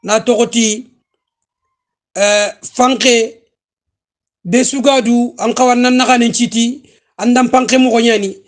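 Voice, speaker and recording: Slow, high-voiced male host. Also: fairly even intonation. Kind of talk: casual interview talk.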